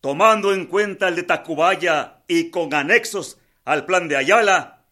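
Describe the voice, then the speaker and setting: A high-pitched, declaiming Mexican rebel general. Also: male voice, measured pace, sharp tone. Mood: rousing. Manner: declamatory, oratorical. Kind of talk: historical radio drama oratory.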